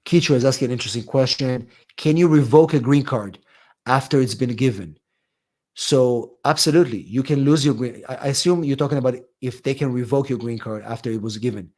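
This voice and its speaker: A man's voice: medium pitch, brisk, darkish tone. A man, an immigration attorney, hosting a live question-and-answer stream, reading out viewers' questions and answering them in a loose, conversational way with many fillers. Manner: conversational, many ums and restarts, reads viewer questions aloud.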